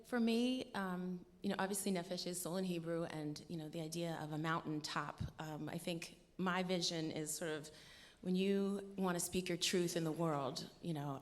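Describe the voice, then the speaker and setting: Low-pitched, expressive woman in a reverberant hall. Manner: earnest, expressive, conversational with frequent "you know". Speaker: musician, interview guest. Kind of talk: informal interview talk. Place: stage of a live radio show. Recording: live hall with long reverb.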